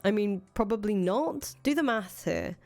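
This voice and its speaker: A woman's voice: medium pitch, animated, moderate pace, gravelly, a little mellow. A woman playing a cynical, foul-mouthed ousted rebel leader in a visual novel, thinking aloud in casual, profane speech. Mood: bitter, frustrated. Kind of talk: acted reading of visual novel dialogue. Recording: music under the voice.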